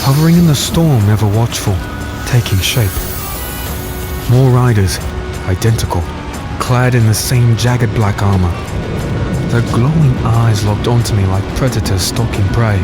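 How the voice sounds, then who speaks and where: A man's voice: on the low side, close to an everyday voice. Intense fantasy hero voiced by a man, music behind. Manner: intense, dramatic. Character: defiant young swordsman hero narrating his battle. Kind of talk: dramatic first-person narration and dialogue, fantasy audio drama.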